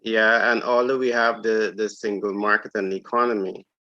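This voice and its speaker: Male interviewer, low voice, short agreeable prompts, dull lo-fi audio. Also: steady tone, moderate pace, bright, forceful tone, no room sound. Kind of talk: conversational discussion. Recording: dull lo-fi sound.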